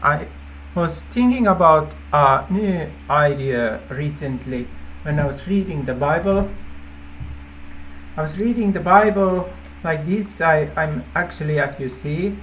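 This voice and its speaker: Male presenter, native Finnish speaker with Finnish accent; unhurried, hesitant explaining, light noise behind. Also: fairly high pitch, lively intonation, fairly bright tone, poor audio. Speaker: app developer presenting a feature idea. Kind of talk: informal explainer to camera.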